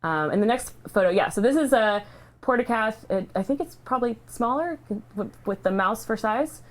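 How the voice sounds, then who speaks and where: A woman speaking casually and earnestly, in halting, unscripted phrases, as a livestream host sharing a personal story. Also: on the high side, expressive. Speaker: charity livestream host sharing her cancer treatment story. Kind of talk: casual, heartfelt unscripted talk.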